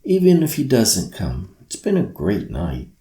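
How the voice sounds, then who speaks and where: A man's voice: lowish voice, huge pitch swings, a little slow, dark tone, reverberant, full character voice. A man voicing a sleepy, kindly young girl in a children's bedtime story.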